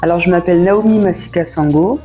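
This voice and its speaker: A woman's voice: lowish voice, fast talker, warm tone, soft background music. A woman in her thirties from France, with a French accent from France, answering as a guest in a casual interview. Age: 30s. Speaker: guest, café owner.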